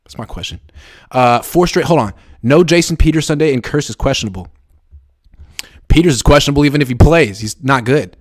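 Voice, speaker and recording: A young man hosting a sports call-in show, riffing in an animated, profane, jokey way and reading out chat messages. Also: mid-range voice, a little fast. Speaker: host.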